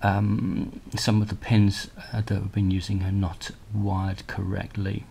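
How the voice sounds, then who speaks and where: Male tech demonstrator, unhurried and hesitant, lowish pitch, improvised commentary. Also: monotone, creaky, dark tone. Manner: hesitant, halting, thinking aloud. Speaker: presenter of a hardware prototype demo. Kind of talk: casual unscripted tech demo commentary. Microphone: handheld camera microphone. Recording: noisy handheld camera recording with clicks.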